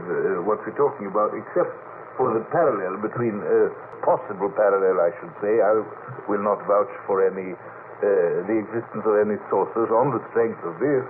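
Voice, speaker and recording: Man on a noisy, tinny old radio broadcast. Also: lowish voice. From Sweden. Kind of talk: anecdotal radio panel talk.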